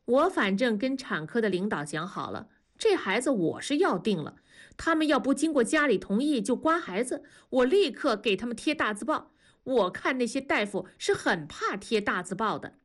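A woman voicing a stubborn, domineering older mother-in-law who talks fast and insists on getting her way. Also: a little high, very animated, pitched above the performer's own voice. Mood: smug. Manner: bossy, insistent, quick-tongued.

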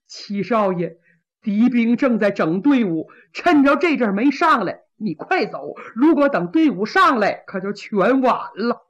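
A woman's voice: animated, a little slow, muffled recording. Woman doing timid, muttering common soldiers. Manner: low, hesitant, muttering. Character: timid common male soldiers, sympathetic and fearful. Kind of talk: traditional Chinese storytelling.

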